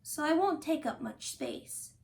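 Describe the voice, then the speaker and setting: A woman's voice: high voice, huge pitch swings, unhurried, a little mellow, heavily acted. A woman voicing a sensitive, insecure young giraffe schoolboy from a storybook, speaking earnestly. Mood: unsure, downcast. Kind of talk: children's storybook character reading.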